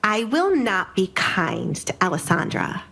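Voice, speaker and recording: A woman's voice: mid-range voice, huge pitch swings, unhurried, gravelly, pushed and bright, breathy edge, full character voice. Woman acting a spiteful, haughty young noblewoman. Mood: bitter, scheming.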